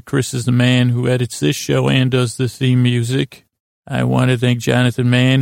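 A man hosting a bedtime podcast in a creaky, gravelly voice, giving a meandering, halting monologue full of false starts and tangents. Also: medium pitch, darkish tone, no echo, clean studio sound. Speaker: host of a bedtime sleep podcast. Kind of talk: rambling, unhurried bedtime monologue.

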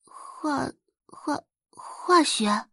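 A shy, insecure teenage girl, acted by a woman narrator. The voice is high, small and soft, stammering with hesitation, in a very dry audiobook recording. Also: animated, very slow, gravelly, dry recording, full character voice. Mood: timid, hesitant. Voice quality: soft, quiet. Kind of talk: audiobook character acting.

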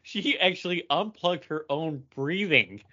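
A man's voice: on the high side, lively intonation, a little slow, pushed and bright, muffled recording. A man co-hosting a podcast in casual group conversation. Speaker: podcast host.

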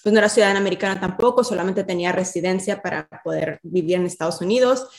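A woman's voice: fairly low pitch, fast, creaky, echoey room. A woman from Mexico in her thirties with a Mexican accent, speaking as an interview guest in casual talk, slipping English terms in among hesitant fillers. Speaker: army officer guest.

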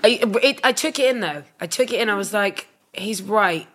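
A woman's voice: medium pitch, normal speed, fairly bright tone. A woman in her thirties co-hosting a casual podcast chat, bubbly and self-mocking as she talks. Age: 30s. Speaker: co-host.

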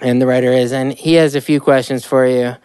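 A woman's voice: extremely low pitch, fairly even intonation, a little fast, dark tone, clear, resonant tone, dry recording, rough recording. A woman interviewing a guest in a chatty, casual way, often hesitating and restarting. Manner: chatty, hesitant, lots of fillers.

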